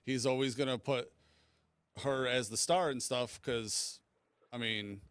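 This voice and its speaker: A man talks casually as a podcast panelist. His voice is smooth and clear, recorded dry with no room sound. His phrasing is halting, often doubling back on itself. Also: medium pitch, steady tone, a bit bright, no echo. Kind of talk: casual chat.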